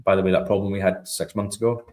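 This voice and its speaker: A man's voice: low-pitched, fairly even intonation, quick pace, a little mellow. A man in a conversational product walkthrough as an interview guest, explaining things informally and checking in with small tag questions.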